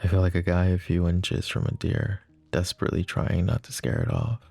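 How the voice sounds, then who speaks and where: Man playing a very deep, gravelly mafia lover. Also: fairly quick, mellow, no room sound, faint music behind. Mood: wary, wry. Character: smitten mafia boss, flirty, self-deprecating, tender and possessive lover. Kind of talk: intimate ASMR romance roleplay.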